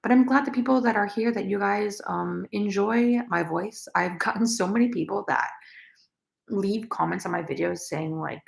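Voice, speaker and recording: A young woman with a naturally raspy voice, chatting casually with viewers on a crochet livestream and rambling with lots of fillers. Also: mid-range voice, normal speed. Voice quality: raspy, gravelly. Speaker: crochet vlogger. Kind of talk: casual livestream chat, reading comments.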